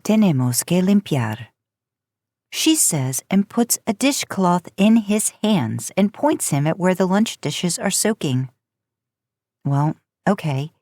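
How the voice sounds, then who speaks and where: A woman's voice: lowish voice, a little mellow, dry recording, clean studio sound. A woman reading a story aloud in audiobook-style narration. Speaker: podcast host and fan fiction narrator.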